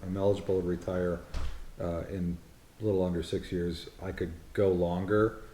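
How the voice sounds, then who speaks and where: A man's voice: deep voice, level tone, normal speed, warm tone, roomy sound, a little hiss or hum, low-quality recording. A man in his fifties from the United States, co-hosting a casual vlog and chatting in a rambling, teasing way with frequent restarts. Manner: rambling, self-correcting, teasing. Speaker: vlog co-host. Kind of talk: casual chat.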